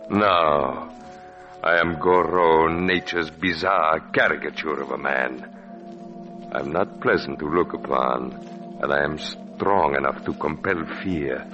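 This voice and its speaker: An actor playing a bitter, menacing, deformed puppeteer with theatrical, mocking speech in an old radio horror drama. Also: male voice, rumbling low, slow pace. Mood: bitter, brooding. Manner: theatrical, ranting, with mocking rhetorical questions. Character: bitter, menacing, twisted puppeteer with withered legs and crushing arms. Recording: muffled vintage radio recording with music.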